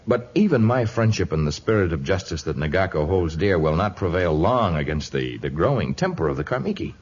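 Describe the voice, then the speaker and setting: An actor playing a noble, loyal jungle hero in 1950s radio drama, speaking in an earnest, formal way. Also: male voice, deep voice, sing-song, a little fast, darkish tone. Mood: earnest, concerned. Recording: muffled, hissy old radio recording.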